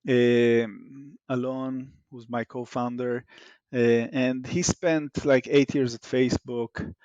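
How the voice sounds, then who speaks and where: A man's voice: mid-range voice, fairly even intonation, slow pace, darkish tone, no room sound, lo-fi sound. A man from Israel with an Israeli accent, a startup founder explaining his product thoughtfully in an interview, with frequent fillers and analogies. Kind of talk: conversational interview.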